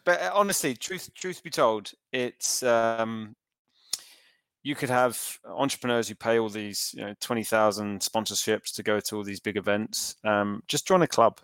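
A man's voice: fairly low pitch, moderate pace, a bit bright, clear, resonant tone, no echo. A man, an entrepreneur from the UK, giving thoughtful interview answers with frequent fillers and restarts. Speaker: entrepreneur guest.

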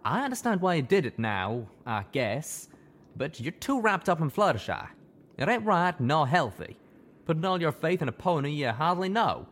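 Pony stallion with a folksy drawl, high and emotional, a male narrator putting him on; lively swinging pitch. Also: moderate pace, heavily acted. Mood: earnest. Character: young male pony, rough-spoken, guilt-torn and earnest.

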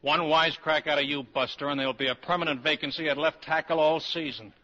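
An actor playing an insistent college professor in love in a 1940s radio drama, brisk, assertive and persuasive. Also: male voice, a little high, fairly even intonation, sharp tone. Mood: exasperated. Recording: dull vintage 1940s radio recording.

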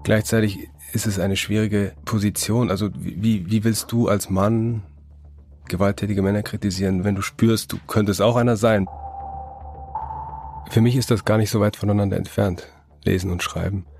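A man's voice: on the low side, fairly even intonation, normal speed. A man, a novelist answering interview questions thoughtfully, often restarting his words. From Germany. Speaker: novelist guest. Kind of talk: reflective interview talk.

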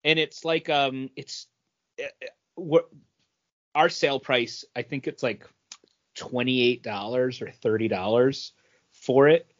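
Mid-pitched man in dull-sounding podcast chat. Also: steady tone, slow pace, pauses often. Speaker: podcast co-host. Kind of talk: casual beer review and chat. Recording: dull, band-limited audio.

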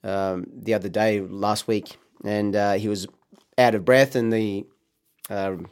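A man's voice: on the low side, steady tone, measured pace, darkish tone, clear, resonant tone. A man co-hosting a crude, joking podcast about sport and racing. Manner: swearing, joking, laughing often. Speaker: podcast co-host. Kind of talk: crude casual banter.

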